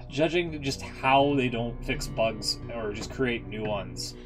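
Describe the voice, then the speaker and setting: A man streaming casual reaction commentary, talking in an informal, halting, sweary way. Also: medium pitch, over music, rough recording. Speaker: game streamer.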